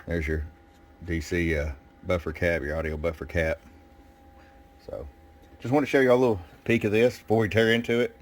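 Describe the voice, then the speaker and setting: Male, very low pitch. Radio technician doing a casual hands-on demo, plain shop talk, clearly noisy recording. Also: normal speed, long pauses.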